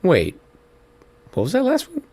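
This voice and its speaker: A man's voice: very high-pitched, wildly swooping pitch, a little slow, darkish tone, breathy edge, heavily acted. A man playing a bewildered husband in an audio comedy drama. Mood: confused. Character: Dave, bewildered husband plagued by spam calls.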